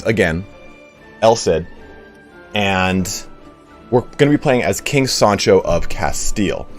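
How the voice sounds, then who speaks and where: Hoarse, deep-voiced male game streamer; loose, rambling history talk, swears freely; soft music under. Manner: rambling, profane, reads donations aloud.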